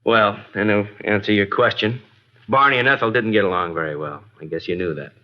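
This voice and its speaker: A man's voice: lowish voice, fairly quick, lightly acted. A man acting a guarded, reluctant working-class garage owner in an old-time radio drama, colloquial and grudging. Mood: grudgingly candid. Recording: dull vintage radio broadcast.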